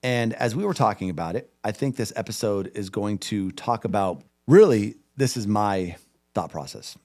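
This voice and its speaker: A man co-hosting a married couple's podcast, talking in an earnest, relaxed way with some rambling. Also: lowish voice, normal speed. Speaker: podcast co-host. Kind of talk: casual chat.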